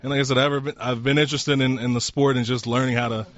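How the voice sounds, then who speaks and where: A man's voice: mid-range voice, flat delivery, racing, a bit bright, muffled recording. A man in his twenties giving casual, polite answers as an interview guest. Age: 20s. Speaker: interview guest, customer at a shooting range. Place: inside a sport shop.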